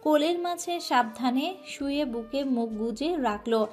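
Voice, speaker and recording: Woman narrator, soft, emotive, slightly high voice, faint music under. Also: unhurried. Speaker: story narrator. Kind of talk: scripted romantic story narration.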